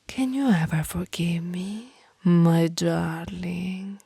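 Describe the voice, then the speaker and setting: A lovestruck female vampire acted by a woman whispers in a low, mellow, breathy voice. She speaks slowly and formally, with old-fashioned romantic courtesy, both predatory and apologetic, as in an intimate ASMR roleplay. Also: no room sound, studio quality, heavily acted. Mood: pleading, devoted.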